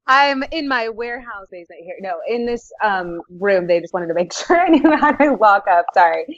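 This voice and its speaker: A woman's voice: mid-range voice, expressive, normal speed, no room sound, muffled recording. A woman chatting casually as a podcast guest. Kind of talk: casual chat.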